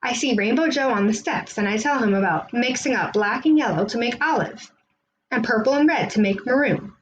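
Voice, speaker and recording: A woman reading a children's picture book aloud in an expressive storytelling style. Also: a little high, fairly quick, slight background noise, low-quality recording.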